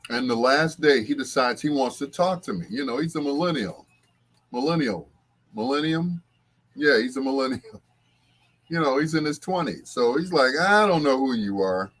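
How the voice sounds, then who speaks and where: A man's voice: mid-range voice, lively intonation, moderate pace, fairly bright tone. A man hosting a casual livestream, talking to viewers in a rambling, conversational way. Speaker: livestream host.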